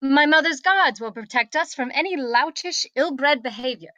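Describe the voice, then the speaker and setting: A woman plays a proud, petulant princess with a high, bright voice. She speaks primly and formally, as if giving orders, in a table read of a film script. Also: expressive, normal speed, no room sound, muffled recording. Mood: imperious. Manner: prim, imperious, formal diction.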